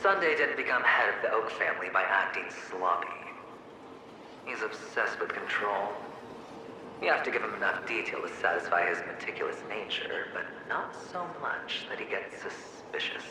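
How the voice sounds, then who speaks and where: A man's voice: fairly low pitch, animated, normal speed. An actor playing the mocking inner double of a young man, taunting and slipping into hissed whispers, his voice echoing and distant. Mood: scheming. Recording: heavy echo, distant, game ambience noise.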